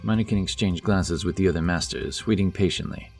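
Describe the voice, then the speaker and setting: Deep-voiced man narrating fantasy over music. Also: fairly quick, a little mellow. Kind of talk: scripted fantasy audio story narration. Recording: music under the voice. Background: background music.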